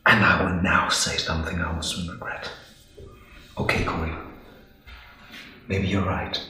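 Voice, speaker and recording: A young man playing an exasperated lawyer husband arguing with his wife, sarcastic, with sudden high outbursts. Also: little pitch movement, unhurried, sharp tone, heavily acted. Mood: exasperated lecture. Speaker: actor in a scene. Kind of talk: stage scene acting. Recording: very echoey room.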